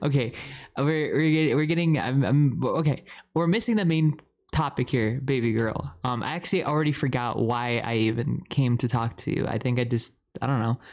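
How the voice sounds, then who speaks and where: A man's voice: mid-range voice, normal speed, darkish tone. A young man playing a chatty, lovestruck boyfriend in an improvised ASMR roleplay, rambling in a playful, dramatic way. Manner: rambling, giggly, playfully dramatic, frequent swearing.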